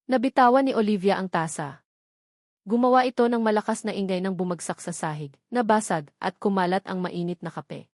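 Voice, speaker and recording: A woman's voice: medium pitch, a little fast, darkish tone, no echo, clean studio sound. A woman reading a scripted, suspenseful love story as narrator. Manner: dramatic, suspenseful.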